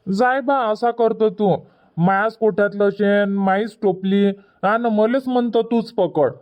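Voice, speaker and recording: A man's voice: high voice, fairly even intonation, unhurried. A man voicing an impatient, stubborn village boy in a cartoon comedy, whiny and argumentative, with a Varhadi Marathi accent. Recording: dull, muffled lo-fi audio.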